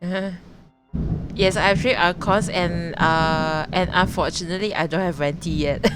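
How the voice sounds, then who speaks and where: A woman's voice: fairly low pitch, steady tone, moderate pace. A woman livestreamer giving casual, off-the-cuff reactions while she plays a video game. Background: game music.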